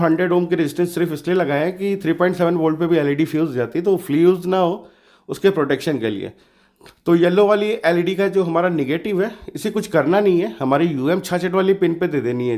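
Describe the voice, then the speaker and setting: A man with a slightly hoarse voice narrates a DIY electronics tutorial casually, explaining step by step in an informal, reassuring way. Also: a little high, a little mellow. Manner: informal, explanatory, often adds reassuring check-ins. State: slightly hoarse from a sore throat.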